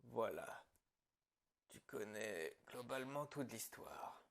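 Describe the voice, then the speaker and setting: A man playing a calm, gloating psychopathic killer in an ASMR horror roleplay, husky and gravelly, often dropping toward a whisper. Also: on the low side, exaggerated voice. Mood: wistful, obsessed. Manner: slow, pausing, quietly menacing storytelling. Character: calm, gloating male psychopath killer confessing his story.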